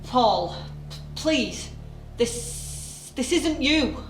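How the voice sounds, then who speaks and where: A woman's voice: high-pitched, lively intonation, drawn-out words, a little hiss or hum, exaggerated voice. An actress playing a plucky, anxious female office worker in an audio drama, with colloquial, flustered delivery. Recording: echoey room, music underneath.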